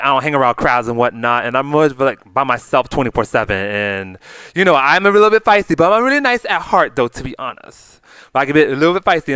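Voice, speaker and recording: A man's voice: fairly high pitch, a bit bright, clean tone, no room sound. A man hosting a vlog in rambling, unscripted casual chat, effusive and wordy, often repeating phrases. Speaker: vlogger, channel host.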